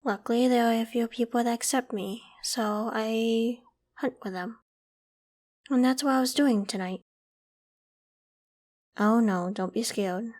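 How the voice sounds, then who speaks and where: The sheltering werewolf girl of a late-night ASMR monster roleplay, acted by a woman, a lightly high voice telling you casually and kindly that you are safe with her. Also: fairly even intonation, unhurried, long pauses, close to an everyday voice. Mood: reassuring, explaining. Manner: casual, conversational, gently protective. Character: friendly, protective half-human female werewolf, young, self-conscious. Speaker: voice actor in audio roleplay.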